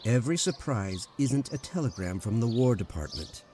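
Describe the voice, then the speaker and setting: A man's voice: mid-range voice, expressive, moderate pace, dark tone, slight background noise, natural voice. An actor playing a breezy, blunt, wisecracking man in an old-time radio drama. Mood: blunt, challenging.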